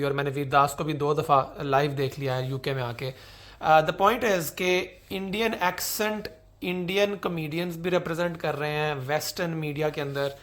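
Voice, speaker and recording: Young Pakistani man, high-pitched, Urdu accent; earnest, rambling vlog chat. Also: fairly bright tone. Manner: earnest, rambling, self-mocking. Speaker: vlogger. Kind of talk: casual monologue mixing Urdu and English.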